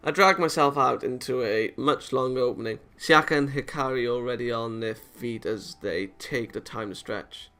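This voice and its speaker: A man reading visual novel narration aloud as a let's player, in an expressive, dramatised way. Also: mid-range voice, moderate pace, hardly any pauses, faint music behind. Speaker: video game let's player.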